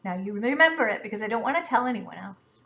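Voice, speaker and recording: A lordly lion, polite on the surface but reluctant to share. A woman telling the story plays him, dropping her voice low and quiet. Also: lively intonation, quick pace, gravelly. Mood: grudging. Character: lordly, grudging male lion.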